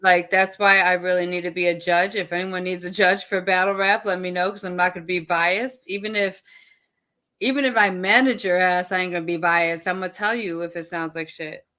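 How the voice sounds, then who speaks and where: Bright, loud-voiced woman podcast host. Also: lowish voice, a little fast. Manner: loud, animated, laughs often. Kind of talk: casual chat. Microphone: live stream setup.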